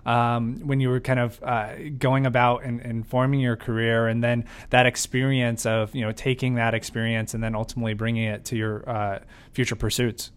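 A man's voice: medium pitch, level tone, normal speed, smooth. A man hosting a podcast, asking questions in a casual, rambling and hesitant way. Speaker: podcast host, interviewer.

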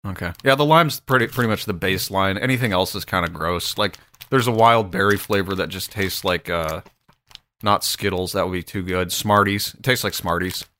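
Bright-voiced joking podcast co-host, a man. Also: low voice, normal speed, slight background noise. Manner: joking, playful asides. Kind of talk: casual banter.

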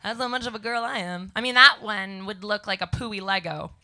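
A young Canadian woman with a scratchy voice doing a deadpan stand-up set before a live audience. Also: mid-range voice, a little fast. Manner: deadpan one-liners to a crowd. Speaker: stand-up comedian guest.

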